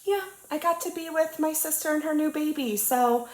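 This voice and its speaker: A woman's voice: high-pitched, normal speed, a little mellow. Bubbly woman with a stuffy cold. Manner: bubbly, chatty, lots of ums and uhs. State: nasal from a cold. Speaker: diamond painting channel host. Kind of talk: casual crafting chat. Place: indoor crafting table. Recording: roomy echo.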